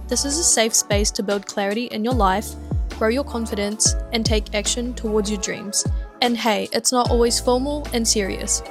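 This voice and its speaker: A woman in her twenties with a New Zealand accent, hosting a podcast in an upbeat, chatty way. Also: mid-range voice. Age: 20s. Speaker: podcast host. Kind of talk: casual chat.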